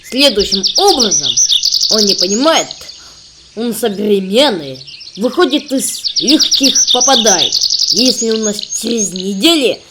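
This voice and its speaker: Animated, pedantic cartoon explainer, noisy. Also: female voice, medium pitch, measured pace. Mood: earnest, explaining. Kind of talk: children's cartoon acting.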